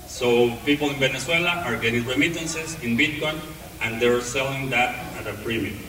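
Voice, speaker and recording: A man with a non-native English accent giving a talk to an audience, speaking haltingly with many pauses and restarts. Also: mid-range voice, sharp tone. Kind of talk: presentation to an audience. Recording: echoey hall, voice from far off.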